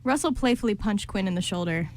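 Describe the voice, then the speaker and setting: A woman's voice: mid-range voice, animated, fast. Woman narrating on a noisy recording. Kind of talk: scripted story narration for an amateur radio play. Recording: clearly noisy amateur recording.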